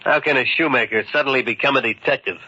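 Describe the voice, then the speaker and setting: An actor playing a gruff, sarcastic, doubting tough man in a radio mystery, scornful and slangy. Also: mid-range voice, quick pace, bright, forceful tone. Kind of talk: old-time radio mystery acting. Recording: muffled vintage radio recording.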